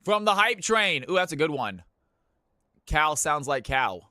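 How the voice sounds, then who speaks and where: Male streamer, fairly high voice, bright and crisp, dry close mic, easygoing chat with hype bursts. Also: big pitch swings, unhurried, dry recording. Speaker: streamer hosting viewer games. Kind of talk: casual live-stream chat.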